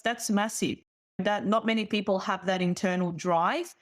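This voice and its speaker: A woman's voice: medium pitch, steady tone, normal speed. A woman hosting an interview podcast and coaching people, speaking in an encouraging, reflective way. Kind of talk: casual interview chat.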